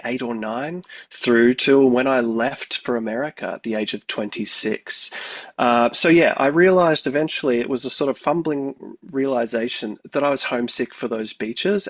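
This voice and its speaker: A man's voice: medium pitch, no echo, highs cut off. An Australian man in his thirties, a composer guest who answers thoughtfully and rambles with many fillers and restarts. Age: 30s. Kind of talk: interview answers.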